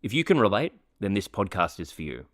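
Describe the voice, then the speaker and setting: A man hosting a podcast, reading an earnest, measured introduction and then chatting with his guest. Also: fairly low pitch, very animated, noisy background. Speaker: podcast host. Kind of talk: scripted podcast intro, then casual interview.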